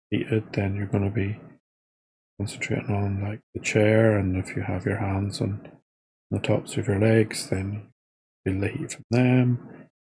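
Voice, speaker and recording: A man with a low, mellow voice guides a body scan meditation. His delivery is unhurried and informal, with halting, trailing phrases. The tone is notably husky, without any polish of a script. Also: rough recording.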